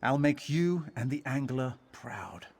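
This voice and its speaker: A man's voice: medium pitch, animated, unhurried, a little mellow, husky. A man voicing a genteel, slightly pompous traveller in a video game, with formal, wordy, apologetic speech. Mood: reluctant, grateful. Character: genteel, fussy, slightly pompous male traveller seeking honest work. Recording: music behind the voice.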